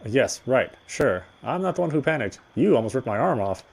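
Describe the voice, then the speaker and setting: A man's voice: medium pitch, very animated, fairly quick, gravelly, slightly rough, heavily acted. A man voicing a shaken young man in a horror visual novel reading, defensive and curt. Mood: annoyed.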